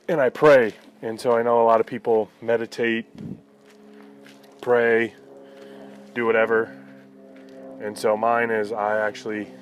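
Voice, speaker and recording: Low-pitched man, flat casual delivery. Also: lo-fi sound, slight background noise. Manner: conversational, reflective, frequent "you know". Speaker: vlogger. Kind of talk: casual personal talk to viewers.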